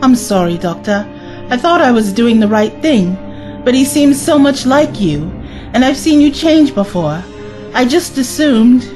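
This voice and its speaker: A woman's voice: on the high side, sing-song, unhurried, over music. A woman playing an earnest, emotional young companion of a time traveller in a sci-fi audio drama. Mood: apologetic.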